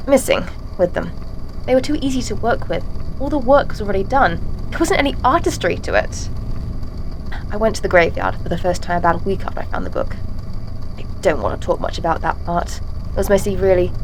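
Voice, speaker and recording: Woman as ex-schoolteacher turned macabre artist: mid-pitched, wry, casual confessional for audio drama, light background noise. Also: close to an everyday voice. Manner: chatty, wry, matter-of-fact confessional storytelling. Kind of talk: audio drama statement monologue.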